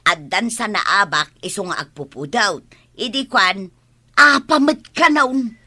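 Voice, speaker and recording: A woman's voice: mid-range voice, animated, a little slow, bright, forceful tone. A woman with an Ilocano accent acting a gossipy village neighbour in a radio comedy drama.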